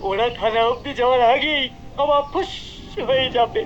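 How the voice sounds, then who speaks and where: An actor playing a sneaky, excitable small-time crooked shopkeeper who mutters and connives in a cartoon. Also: high-pitched, moderate pace, dull audio, over loud music, natural voice. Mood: sly. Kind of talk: cartoon voice acting.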